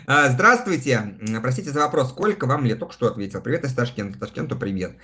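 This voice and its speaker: A man's voice: medium pitch, big pitch swings, fast, sharp tone. A man in his thirties chatting casually on a livestream, reading viewer comments aloud and answering them between laughs. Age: 30s. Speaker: live streamer reading viewer chat.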